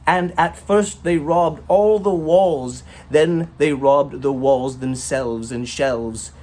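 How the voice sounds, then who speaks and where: A man reading a short story as its storyteller, in a theatrical, rhythmic manner with drawn-out emphasis and rhyming phrases. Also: on the high side, steady tone, darkish tone, a little hiss or hum. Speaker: storyteller, author reading own work.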